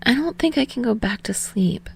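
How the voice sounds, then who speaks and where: Woman voicing a sheepish, bubbly young man, high-pitched. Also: animated, moderate pace, creaky, husky, exaggerated voice. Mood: soft, confiding.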